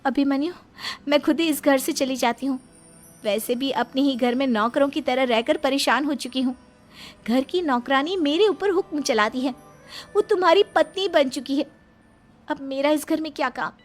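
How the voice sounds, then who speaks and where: A wronged young wife a woman acts her out speaks in a high, emphatic voice over music. She is sincere, firm and dignified, in the manner of a cartoon melodrama. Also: normal speed, natural voice. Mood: hurt, indignant. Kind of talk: melodramatic Hindi cartoon voice acting.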